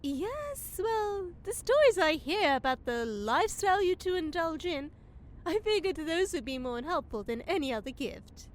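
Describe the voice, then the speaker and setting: A prim, politely catty young woman, played by an actress in a fantasy audio comedy. Her voice is extremely high and lilts up and down through formal, barbed courtesies. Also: very animated, moderate pace.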